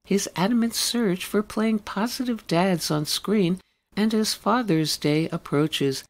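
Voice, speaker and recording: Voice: medium pitch, takes it slowly. A radio host reading a scripted introduction in long, list-laden sentences.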